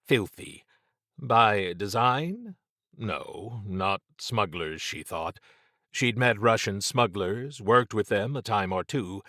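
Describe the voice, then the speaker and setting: A man narrating dramatic fiction in a measured, suspenseful and deliberate way, close to the microphone. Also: on the low side, a bit bright, no room sound. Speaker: audiobook narrator.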